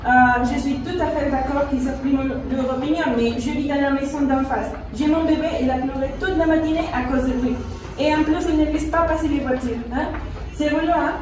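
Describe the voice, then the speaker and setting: A woman's voice: high voice, fairly even intonation, a little fast, close to an everyday voice. A woman playing an annoyed young mother complaining casually in an amateur street interview sketch. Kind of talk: amateur classroom dramatisation of TV news. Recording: very echoey room, distant mic, loud noise.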